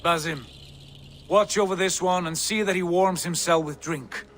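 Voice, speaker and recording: An actor playing a cautious, commanding Viking in a video game, measured and authoritative. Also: male voice, high voice, fairly even intonation, fairly bright tone. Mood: firm, cautioning. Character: level-headed male Viking, cautious and commanding. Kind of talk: video game cutscene acting.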